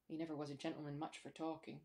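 A woman's voice: low-pitched, little pitch movement, fast talker, darkish tone, pitched below the performer's own voice. A woman voicing a talkative, respectful rustic manservant with uneducated country grammar in a storybook reading. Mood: earnest, deferential. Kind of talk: storybook character dialogue.

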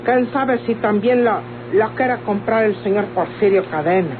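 A woman's voice: mid-range voice, moderate pace, phone-quality sound, close to an everyday voice. A woman playing an outspoken young country daughter in an old radio drama, with a Mexican accent and a folksy, candid manner. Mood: frank, insistent. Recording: noisy, band-limited old radio recording.

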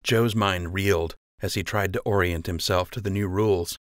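A man's voice: low voice, fairly even intonation, normal speed, no echo, clean studio sound. A man narrating scripted fiction as an audiobook reader.

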